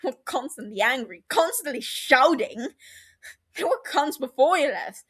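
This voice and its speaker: A woman voicing a bitter, wounded younger brother in an audio story, heated and scornful, breaking into breathy hurt. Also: high-pitched, animated, normal speed, a bit bright, pitched above the performer's own voice. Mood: bitter, anguished.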